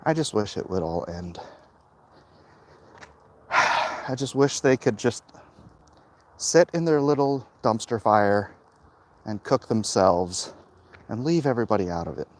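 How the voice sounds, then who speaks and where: A middle-aged man talking casually and haltingly to his viewers in a monologue recorded while out walking. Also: on the low side, animated. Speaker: comic book creator vlogging. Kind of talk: casual walk-and-talk monologue. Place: outdoors, walking along a hilly route.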